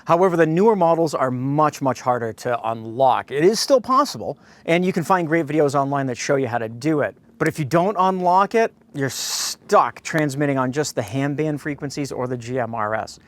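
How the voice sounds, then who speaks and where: A man's voice: on the high side, lively intonation, normal speed, few pauses. An American man hosting a product review, speaking to viewers in a blunt, opinionated way. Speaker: host of a radio review.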